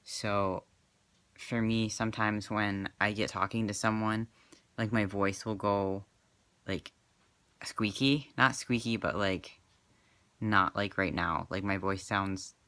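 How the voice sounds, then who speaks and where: Soft-spoken young man, hesitant and dry. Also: fairly low pitch, steady tone, slow, clear, resonant tone, dry recording. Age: 20s. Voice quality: slightly crackly. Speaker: vlogger. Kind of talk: casual personal vlog.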